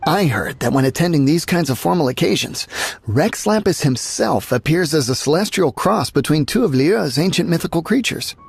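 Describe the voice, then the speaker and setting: A woman's voice: low-pitched, lively intonation, moderate pace, creaky, darkish tone, slightly rough, no room sound, studio quality, exaggerated voice. A woman playing a friendly, courteous local festival-goer in a video game, with a polite, chatty manner. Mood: chatty, helpful. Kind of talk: video game character acting. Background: game music.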